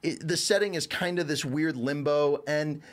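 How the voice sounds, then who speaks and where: Higher-pitched man, casual rambling reviewer. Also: moderate pace. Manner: rambling, informal, swears casually. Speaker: reviewer on a show. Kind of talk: casual conversational review of an animated series.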